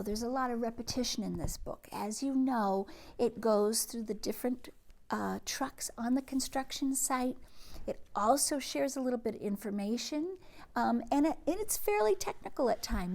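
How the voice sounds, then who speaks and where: Female children's read-aloud host, higher-pitched and unhurried, gentle expressive storyteller who softens line endings, with music in the recording.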